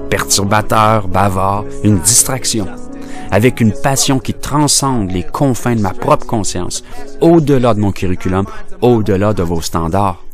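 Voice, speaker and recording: Impassioned man performing spoken-word poetry, lowish voice. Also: faint music behind. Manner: declamatory, impassioned, deliberately phrased.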